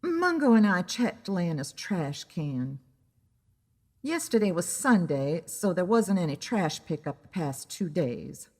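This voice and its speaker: A woman playing a wry, easily annoyed private investigator who narrates her own story in a deliberate, dramatic way. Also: fairly low pitch, sing-song, darkish tone, big-room sound, heavily acted. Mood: wry, matter-of-fact. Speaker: narrator and lead character of an audio drama. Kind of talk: scripted first-person detective story narration.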